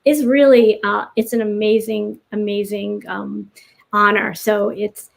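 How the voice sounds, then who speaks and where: A woman's voice: medium pitch, flat delivery, unhurried, creaky. A woman writer chatting as a podcast guest, earnest and rambling as she thinks aloud. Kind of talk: casual interview chat.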